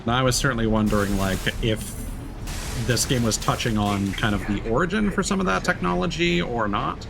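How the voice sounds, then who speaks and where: A man's voice: medium pitch, fairly quick, vocal fry, background noise. A man livestreaming a video game, chatting casually in a musing, discursive way. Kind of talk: casual game stream commentary.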